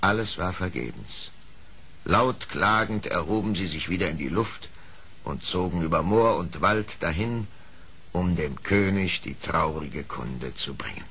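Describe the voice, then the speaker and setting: A man narrating a scripted radio play story in an expressive storytelling manner. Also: low voice, a little slow, husky.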